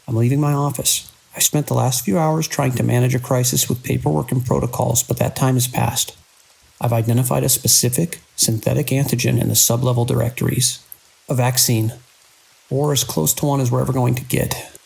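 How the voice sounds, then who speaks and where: An actor playing a beleaguered, idealistic hospital director in a horror audio drama, speaking earnestly and urgently in halting phrases. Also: male voice, medium pitch, fairly even intonation, gravelly, a little mellow, breathy edge, rough recording. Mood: resolute, urgent.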